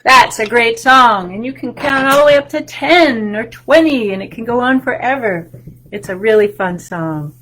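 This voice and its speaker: A woman in her fifties, a children's librarian leading a storytime at home, reading and singing with animated, clear delivery over a webcam. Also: fairly high pitch, rough recording. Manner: animated, sing-song, slow and clear. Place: home room with bookshelves. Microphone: webcam on a video call.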